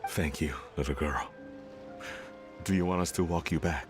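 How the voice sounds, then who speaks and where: An actor playing a reserved, proud father who talks in a gentle, halting way with awkward chuckles. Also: rumbling low, music in the background. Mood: kind. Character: gruff older father, reserved but proud. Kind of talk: video game voice acting.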